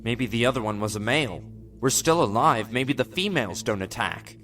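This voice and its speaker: An actor playing a jittery, excitable starship ensign in an audio drama, sounding worried. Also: male voice, mid-range voice, normal speed, distant mic, soft background music, lightly acted. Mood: nervous.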